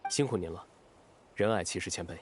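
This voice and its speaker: Man as reserved, quick-spoken low-voiced young nobleman. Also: a little hiss or hum, natural voice. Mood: restrained, pointed. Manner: formal, terse, restrained. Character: cool, reserved young nobleman, a tavern and winery owner. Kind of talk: Chinese video game voice acting. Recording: music behind the voice.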